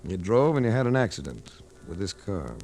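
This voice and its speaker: An actor playing a cool, unsentimental private investigator in a 1940s radio drama, with a clipped, sardonic, accusing way of talking. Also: male voice, low-pitched, very animated, fairly quick, darkish tone. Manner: clipped, sardonic, hard-boiled. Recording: noisy vintage 1940s radio recording.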